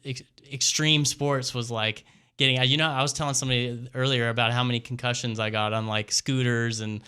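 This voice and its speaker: A man's voice: medium pitch, bright, forceful tone, clear, resonant tone. A man in his thirties hosting a casual interview, encouraging his guest with frequent short agreements. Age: 30s. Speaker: podcast host.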